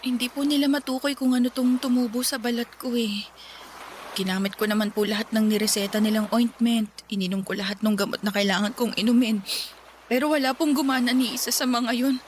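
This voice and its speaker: A woman's voice: a little high, fairly quick, slight background noise, natural voice. A woman playing a guilt-ridden, withdrawn young woman in a radio drama, speaking politely and confessionally. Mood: dejected. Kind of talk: Filipino radio drama dialogue.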